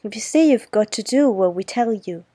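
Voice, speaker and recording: A woman's voice: medium pitch, normal speed, dark tone, dry recording, close to an everyday voice. A woman voicing a bossy young boy, speaking in a warning tone.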